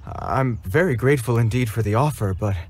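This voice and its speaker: A man's voice: mid-range voice, fairly quick, a little mellow, slight background noise, close to an everyday voice. A man voicing a formal, dutiful guard soldier in a game cutscene, who gets flustered around children. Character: earnest, formal young male guard soldier. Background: game music.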